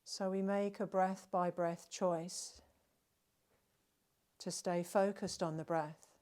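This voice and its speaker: A woman leading a guided relaxation, speaking gently and soothingly, with long unhurried pauses between phrases. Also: on the low side, fairly even intonation. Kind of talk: guided meditation narration.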